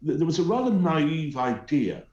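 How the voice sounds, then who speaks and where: Middle-aged man, Teesside accent, lively swooping delivery, scornful, echoey room, muffled remote line. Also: fairly high pitch, normal speed. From North East England. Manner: emphatic, scornful, hesitant with many uhs. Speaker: guest commentator. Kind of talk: opinionated talk show commentary. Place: remote link from home.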